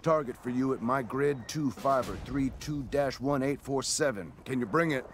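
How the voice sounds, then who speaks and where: A man's voice: medium pitch, normal speed, a little hiss or hum, natural voice. A man playing an American army commander making clipped, commanding military radio calls in a war game. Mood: businesslike, commanding.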